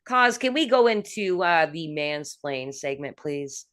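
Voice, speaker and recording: Lady host chatting with a guest, lowish voice, long questions, keeps it moving. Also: lively intonation, unhurried, fairly bright tone. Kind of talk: casual interview chat.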